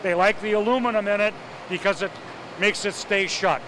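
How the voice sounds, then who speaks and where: A man's voice: high voice, steady tone, noisy background. Hesitant man mayor, filler-heavy. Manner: halting, many uhs and restarts. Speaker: city mayor. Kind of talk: casual interview talk.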